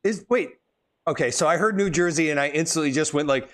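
A man's voice: a little high, fairly quick, fairly bright tone. A man hosting a podcast, chatty and upbeat, often laughing along with his guest. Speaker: podcast host. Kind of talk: podcast interview.

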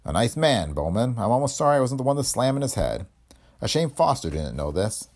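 A man's voice: mid-range voice, sing-song, brisk, darkish tone, pitched above the performer's own voice. A man voicing a cocky, sardonic, hard-headed investigator in a hardboiled detective story, wry and tough-talking. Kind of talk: hardboiled detective audiobook dialogue.

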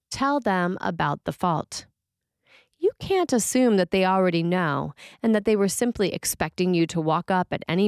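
A woman's voice: on the low side, no room sound, studio quality. A woman priest reading a scripted sermon for a podcast.

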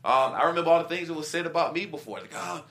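A middle-aged man with a bright, forceful tone talks casually about football as a guest. He explains his views with examples and acts out quoted remarks, like a former player recalling his career. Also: fairly high pitch, expressive, racing. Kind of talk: casual sports talk.

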